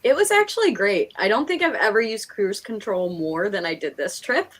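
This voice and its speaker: A woman's voice: medium pitch, expressive, normal speed, fairly bright tone, breathy edge. Chatty Northeastern American woman, giggly. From Pennsylvania and New York, United States. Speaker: live stream host. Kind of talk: casual chat.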